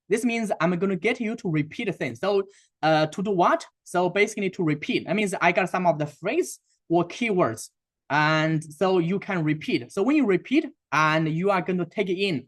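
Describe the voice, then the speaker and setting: A man's voice: sits high, fairly bright tone. Chinese-accented man hosting a sales webinar. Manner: halting, many fillers and restarts. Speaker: webinar host and sales coach. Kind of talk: webinar presentation.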